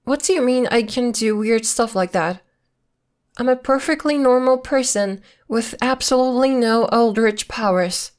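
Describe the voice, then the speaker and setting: A woman's voice: a little high, normal speed, a little mellow, natural voice. A woman acting in an ASMR roleplay as a playful, evasive girlfriend who is secretly a witch, talking intimately in a coy, teasing, casual way. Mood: playfully defensive. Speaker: voice actor.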